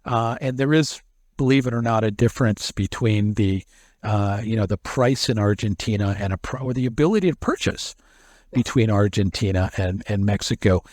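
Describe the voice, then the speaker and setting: A man's voice: on the low side, moderate pace, a little mellow, no echo, clean studio sound. A man being interviewed as an expert, explaining a technical topic in long run-on stretches and often repeating words as he restarts. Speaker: SEO expert guest.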